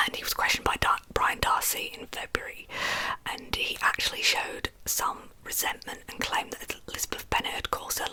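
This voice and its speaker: Whispering woman, bright and breathy. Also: poor audio. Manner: conversational, informal asides. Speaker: ASMR true crime host. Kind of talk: whispered ASMR true crime storytelling.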